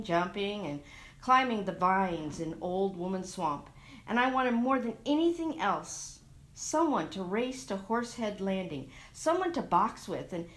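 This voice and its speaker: A woman giving a dramatic reading of a literary short story, expressive and measured, recorded on a lavalier mic. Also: mid-range voice. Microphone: lavalier microphone into a phone.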